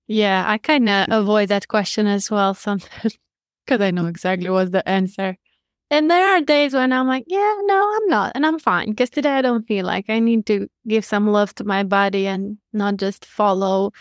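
A woman's voice: mid-range voice, moderate pace, dry recording, clean studio sound. Romanian-accented woman podcast co-host. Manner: sometimes searches for words, friendly. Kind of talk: casual podcast conversation.

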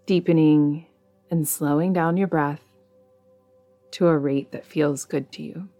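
Female meditation guide, low register, soothing and measured, gentle music underneath. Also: fairly even intonation. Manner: soothing, measured delivery with long pauses. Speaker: meditation guide, podcast host.

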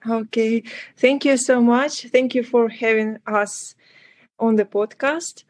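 A woman's voice: on the high side, steady tone, takes it slowly. A young woman from Ukraine with a Ukrainian accent, speaking careful, earnest English as a guest on an interview podcast. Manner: careful, earnest non-native English with some restarts. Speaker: guest, student.

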